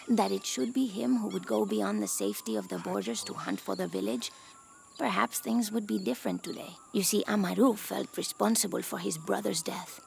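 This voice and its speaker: A woman's voice: medium pitch, gravelly, slight background noise. An actress playing a grave, reflective village woman telling a story in a video game.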